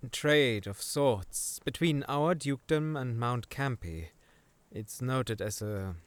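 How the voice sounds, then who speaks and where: A man's voice: medium pitch, dry recording, natural voice. A man playing an earnest, studious researcher in a fantasy audio drama, with a hesitant, scholarly delivery. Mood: pensive.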